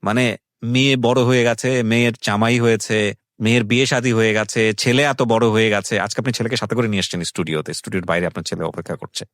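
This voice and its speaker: A man's voice: on the low side, fairly even intonation, quick pace, fairly bright tone, no echo, clean studio sound. A man with a Bangladeshi accent hosting a radio interview, commenting emphatically, repeating phrases for stress and mixing in English phrases. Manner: mixes English phrases into Bengali. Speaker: radio show host. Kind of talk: conversational interviewing with reflective social commentary. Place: radio studio.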